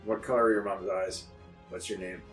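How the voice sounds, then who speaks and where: A man's voice: sits low, fairly even intonation, fairly quick, reverberant, faint music behind. A man playing a tabletop game, speaking plainly and teasing the others. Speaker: player. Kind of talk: casual tabletop game chat.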